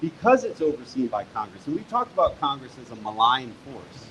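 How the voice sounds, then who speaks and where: A man, the guest author at a live onstage conversation, explaining history in a measured, step-by-step way that builds emphasis. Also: mid-range voice, sing-song, fairly bright tone, slight background noise, low-quality recording.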